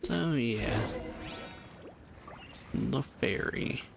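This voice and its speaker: A man giving casual, low-key commentary as he plays through a video game, often trailing off and muttering to himself. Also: animated, very slow, creaky, background noise. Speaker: video game streamer reacting to story.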